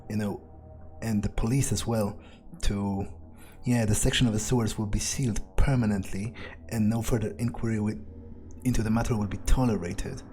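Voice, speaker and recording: Man, fairly low pitch, in-character gaming commentary, musing, halting phrases, clear background music. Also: dark tone. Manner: musing, hesitant, pauses mid-phrase. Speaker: gamer doing an in-character playthrough. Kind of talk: in-character gameplay commentary, thinking aloud. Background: game music.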